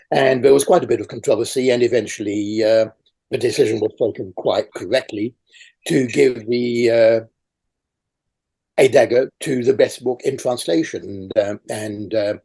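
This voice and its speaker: A man's voice: mid-range voice, creaky, mellow, slightly rough. An older man, a native French speaker, answering interview questions over a video call in a rambling way with many fillers. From raised in France. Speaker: awards chair interviewee. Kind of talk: conversational interview.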